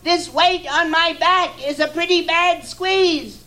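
A man's voice: extremely high pitch, steady tone, slow pace, pushed and bright, pitched above the performer's own voice, exaggerated voice. A man voicing a meek small turtle at the bottom of a stack, pleading in a strained voice. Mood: polite pleading. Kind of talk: storybook reading.

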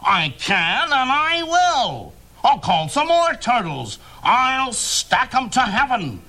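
A man's voice: very high voice, wildly swooping pitch, slow, pushed and bright, heavily acted. Yertle, a man playing a pompous turtle king. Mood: blustering commands. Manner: pompous, bellowing. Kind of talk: storybook reading.

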